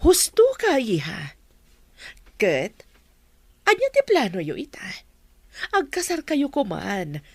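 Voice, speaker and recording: A woman's voice: medium pitch, wildly swooping pitch, slow, creaky, long pauses, breathy edge, no echo, heavily acted. A woman acting a doting mother eager for grandchildren in a serial audio drama. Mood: pleased, curious. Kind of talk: Filipino radio-style drama dialogue.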